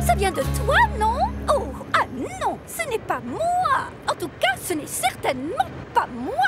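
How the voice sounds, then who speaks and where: A prim female train carriage in a French cartoon. Her voice is extremely high and very animated, fussy and exaggerated, with loud music underneath. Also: unhurried, heavily acted. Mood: mock concern.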